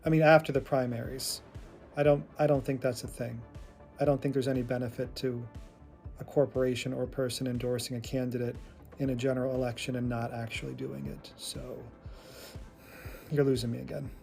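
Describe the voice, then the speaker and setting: A man's voice: mid-range voice, level tone, brisk, creaky, a little mellow, faint music behind. A man giving casual political reaction commentary in a condescending way.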